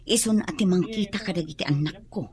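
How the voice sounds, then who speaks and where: A woman's voice: lowish voice, fairly quick, a little mellow, natural voice. A woman playing a hesitant mother torn over leaving her children, in a radio drama. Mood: hesitant, worried. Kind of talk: Ilocano radio drama acting.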